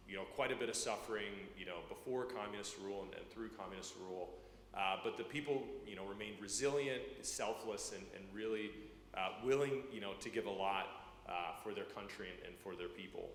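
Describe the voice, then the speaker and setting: A man in his thirties from Ukraine, speaking as a guest to a church congregation, halting with many fillers and repeated words. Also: on the low side, a bit bright. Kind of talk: personal testimony to a church congregation. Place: church sanctuary. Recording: echoey church hall, faint room noise.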